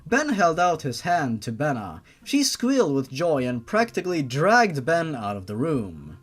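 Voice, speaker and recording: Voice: medium pitch, very animated, unhurried. A narrator reading fan fiction aloud in a theatrical, irreverent style. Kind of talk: dramatic fan fiction reading with mocking asides.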